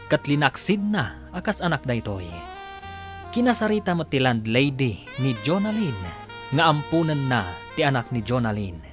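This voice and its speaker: Woman announcer, low voice, lively intonation, scripted radio drama narration, music behind.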